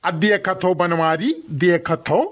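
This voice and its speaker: A man's voice: high voice, fairly even intonation, slow. A man voicing a scolding, sarcastic village father in a comic cartoon, with an Awadhi accent. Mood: angry scolding. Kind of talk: comic rustic cartoon dialogue.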